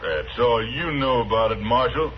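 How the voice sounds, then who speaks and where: A man's voice: medium pitch, normal speed, natural voice. An actor playing a belligerent, hot-headed frontier gunman in a radio western. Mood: defiant, sullen. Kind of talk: 1950s radio western acting. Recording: muffled, hissy old radio recording.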